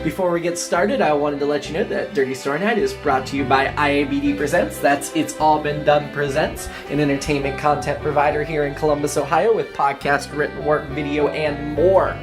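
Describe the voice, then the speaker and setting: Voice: medium pitch, fairly even intonation, fairly quick, hardly any pauses. Podcast host talking over music. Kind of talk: podcast introduction and sponsor plug.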